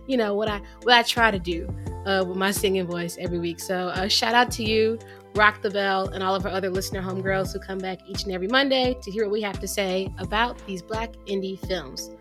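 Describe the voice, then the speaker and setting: A woman's voice: medium pitch, steady tone, fairly quick, fairly bright tone, soft background music. A woman co-hosting a podcast in casual, playful chat, laughing easily. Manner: playful, laughs often, sings jokey intros. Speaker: podcast co-host.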